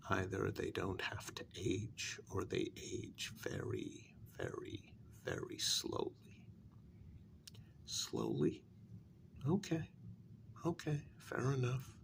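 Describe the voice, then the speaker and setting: Guy doing a smug kidnapper in asmr roleplay, low slow half-whisper, super crackly, wry and taunting. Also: huge pitch swings, exaggerated voice. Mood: probing, grudgingly impressed. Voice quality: soft, breathy, often half-whispered. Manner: slow, halting, conversational, wryly sarcastic. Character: man kidnapper, sly, smug, taunting his captive. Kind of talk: ASMR role-play, soft-spoken monologue.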